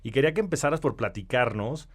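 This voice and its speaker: A man's voice: mid-range voice, animated, quick pace. A man hosting a podcast, upbeat in his introductions and admiring toward his guest. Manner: upbeat promo, then warm admiring welcome. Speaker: podcast host. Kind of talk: enthusiastic podcast intro and interview.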